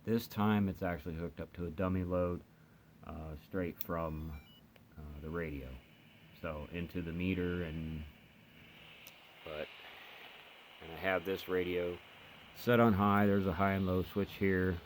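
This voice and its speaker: Very deep-voiced man, casual and halting. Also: flat delivery, rough recording. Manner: halting, unscripted explaining. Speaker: host of a hobby radio review channel. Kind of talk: casual hands-on product demonstration. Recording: noticeable background noise.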